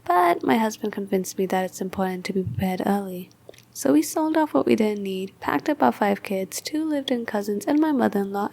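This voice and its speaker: A woman's voice: medium pitch, vocal fry, darkish tone. A woman playing a cheerfully devoted mother in a bunker commune, giving an earnest, chatty testimonial in an audio comedy.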